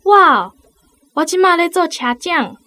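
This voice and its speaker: A woman's voice: extremely high pitch, animated, a little slow, sharp tone, heavily acted. A woman playing an affectionate young bus conductor in a radio drama. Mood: coy.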